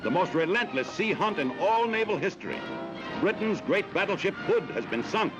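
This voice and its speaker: Male newsreel narrator, American, 1940s style. Dramatic and animated, dull old film-soundtrack sound, orchestral score underneath. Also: sits high, normal speed. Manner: dramatic, declamatory delivery. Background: loud orchestral music.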